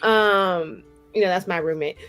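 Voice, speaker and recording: A woman's voice: on the low side, unhurried, a bit bright, faint music behind. Playfully boastful woman chatting on a game stream. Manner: playfully boastful, theatrical emphasis. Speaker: player on a tabletop roleplaying stream. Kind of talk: casual chat.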